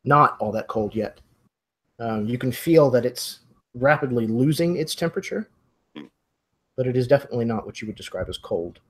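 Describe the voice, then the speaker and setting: Husky low-key male game master. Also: lively intonation, normal speed, pauses often. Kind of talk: narrating a tabletop mystery roleplay.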